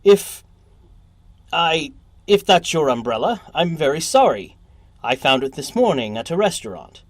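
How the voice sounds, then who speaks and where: A man acts out a nervous storybook fellow who stammers apologetically. His voice is raised in pitch and pushed louder, with halting, flustered phrasing and a dry, close sound. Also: sing-song, no room sound. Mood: flustered, apologetic. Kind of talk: storybook character voice in a short story reading.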